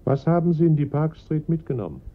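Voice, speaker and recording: An actor playing a composed, probing police inspector who questions formally in a German radio crime drama. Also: male voice, on the high side, big pitch swings, fast. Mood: businesslike. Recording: muffled lo-fi radio play recording.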